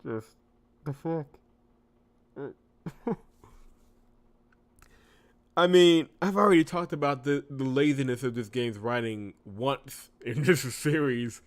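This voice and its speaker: A man's voice: medium pitch, slow, pauses often. A man hosting a let's play, reading visual novel text aloud and breaking off into incredulous, sarcastic commentary. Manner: exasperated, incredulous, sarcastic asides. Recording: music behind the voice. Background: background music.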